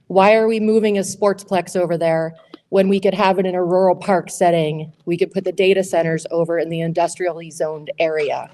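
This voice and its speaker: A woman with a loud, carrying voice speaks at a public meeting in a school auditorium. She works through prepared questions one by one in an orderly, steady way. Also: lowish voice, brisk, slight background noise. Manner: loud, clear, methodical questions. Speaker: state senate candidate.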